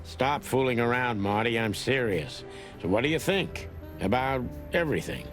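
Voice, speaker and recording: A man's voice: medium pitch, expressive, moderate pace, a bit bright, soft background music, close to an everyday voice. A man playing a weary old rooster detective in a film noir game, terse, brooding and world-weary. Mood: serious. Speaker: narrator and lead detective. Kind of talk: hardboiled film noir voice acting.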